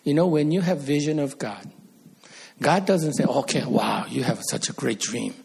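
A man's voice: mid-range voice, lively intonation, normal speed, creaky, darkish tone, slightly rough. A middle-aged man from Korea, preaching an earnest sermon as a pastor and rising to emphatic peaks.

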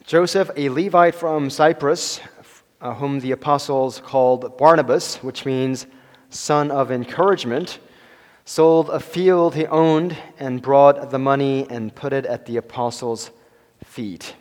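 A man in his forties preaching a sermon to his church, halting with many fillers and restarts and chuckling between phrases. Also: mid-range voice. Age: 40s. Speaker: pastor. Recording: long hall reverb.

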